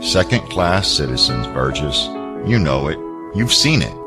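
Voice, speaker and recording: A man's voice: rumbling low, sing-song, measured pace, exaggerated voice. An actor playing a defiant, worldly man who argues with challenging questions in an audio drama. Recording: music under the voice.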